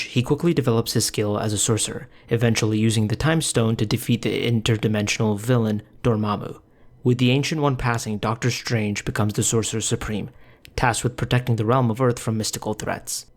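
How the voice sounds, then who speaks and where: Male video essayist, fairly low voice, scripted explanatory narration.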